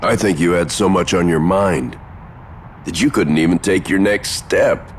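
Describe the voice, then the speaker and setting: An actor playing a cocky, taunting but gruffly fond middle-aged father and warrior in a fantasy video game, with a gravelly voice and a swaggering, blunt manner. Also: deep voice, unhurried, a little hiss or hum. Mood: taunting, stern.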